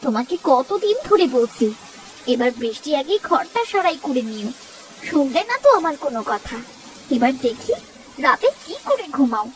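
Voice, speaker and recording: A woman's voice: high voice, very animated, normal speed, a little hiss or hum. A woman voicing an anxious, scolding poor village mother in a cartoon, slightly distant over music. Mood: exasperated. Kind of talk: cartoon voice acting.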